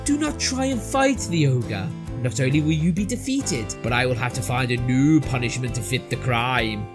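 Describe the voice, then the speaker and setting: A man's voice: mid-range voice, expressive, moderate pace, over music, close to an everyday voice. A man voicing a stern, imperious female demon in a storybook tale, speaking in a grandiose, commanding way. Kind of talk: storybook character voice.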